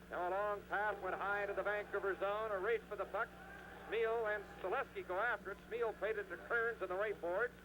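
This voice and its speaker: A man's voice: sits high, steady tone, fairly quick, background noise. A man calling hockey play by play on radio in clipped, urgent running narration, on a 1970s broadcast recording. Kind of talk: live radio sports commentary.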